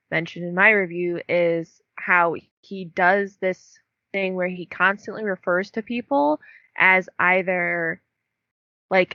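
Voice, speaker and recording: A woman's voice: lowish voice, no room sound. A young woman co-hosting a book podcast, chatting casually with lots of fillers and laughing at herself. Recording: dull dry lo-fi recording.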